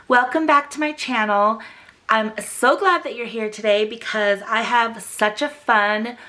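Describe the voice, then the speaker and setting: A young woman hosting a craft channel, chatty and gushing, her voice still a little rough after a cold. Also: fairly high pitch, unhurried, bright, forceful tone. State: recovering from a cold, voice slightly rough. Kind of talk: casual tutorial chat.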